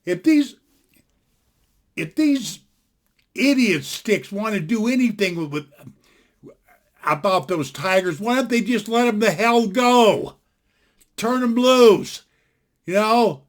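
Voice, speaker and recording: An older man in his sixties with a fairly high-pitched voice speaks from his home into a desktop computer microphone. He talks in a blunt, folksy, opinionated way, rambling and restarting his sentences as he goes. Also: animated, slow, pauses often. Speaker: vlogger. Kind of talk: casual opinion rant to camera. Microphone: desktop computer mic.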